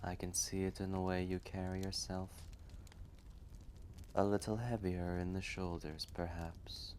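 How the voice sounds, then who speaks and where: A man voicing an ancient, gentle, wise male dragon in a roleplay story, speaking in a hushed, measured, often breathy voice with a kindly manner. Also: little pitch movement, slight background noise. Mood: tender, reflective. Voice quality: low, gravelly, often breathy and whispery.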